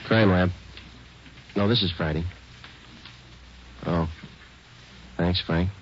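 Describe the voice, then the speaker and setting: An actor playing a calm, businesslike police sergeant who also narrates, clipped and matter-of-fact, in a radio detective drama. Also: male voice, sits low, takes it slowly, muffled recording, a little hiss or hum. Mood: dry, focused. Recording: old radio show with music behind.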